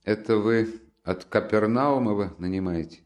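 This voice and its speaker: A man's voice: lowish voice, moderate pace, darkish tone, close to an everyday voice. Raskolnikov: a man voicing a brooding young student. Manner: sullen, curt questioning. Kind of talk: audiobook character reading.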